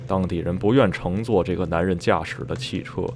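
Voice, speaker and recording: A man acting as a low-voiced, uneasy witness. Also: a little mellow, lightly acted. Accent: Mandarin. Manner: measured, literary storytelling with grave unease. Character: uneasy man recounting his dreadful memories of a sinister town. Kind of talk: radio drama acting, narrating in interview. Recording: music behind the voice.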